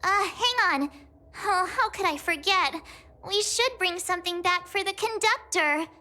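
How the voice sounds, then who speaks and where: A woman's voice: very high voice, a little slow, sharp tone, full character voice. A woman voicing a cheerful, chatty teenage girl traveler in a video game. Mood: bubbly.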